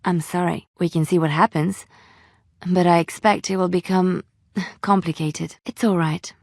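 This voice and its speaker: An actress voicing a gentle, devout young woman adventurer in a video game, soft-spoken and earnest, by turns wistful and playful. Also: lowish voice, fairly even intonation, a little slow, gravelly, no room sound, clean studio sound. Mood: gentle regret. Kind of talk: video game voice acting, intimate dialogue.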